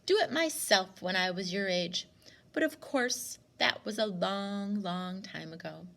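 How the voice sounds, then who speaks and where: A woman's voice: medium pitch, measured pace, gravelly, a bit bright. A woman voicing a courteous, mysterious man in a storybook, speaking in a light, mannered, politely probing way. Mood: genial. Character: courteous, smooth, mysterious tall man in yellow suit.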